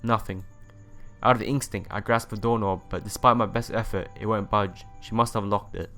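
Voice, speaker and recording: Low-voiced man reading a story aloud over music. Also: steady tone, moderate pace, fairly bright tone. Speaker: let's play narrator. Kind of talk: reading a visual novel aloud.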